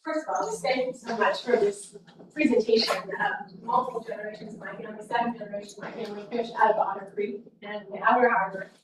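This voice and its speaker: A woman's voice: mid-range voice, fast talker. A woman in the audience asking polite, curious questions. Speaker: audience member. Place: library meeting room. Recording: distant, very echoey room with hiss.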